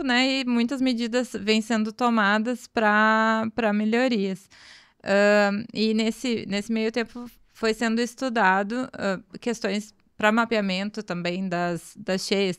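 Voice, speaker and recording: A woman's voice: mid-range voice, clear, resonant tone, dry recording, clean studio sound. A woman from Rio Grande do Sul with a Gaúcho Brazilian accent, a guest answering hesitantly in a podcast interview, with many fillers. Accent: Gaúcho Brazilian Portuguese. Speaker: guest engineer.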